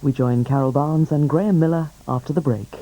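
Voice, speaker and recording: A man's voice: on the high side, brisk. A man with a British accent making scripted television continuity announcements. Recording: dull lo-fi broadcast, loud music behind.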